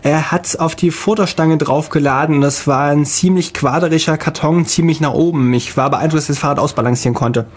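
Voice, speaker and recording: A man co-hosting a casual podcast, telling travel stories in a halting way with many fillers. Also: a little high, husky. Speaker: podcast co-host. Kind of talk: casual chat.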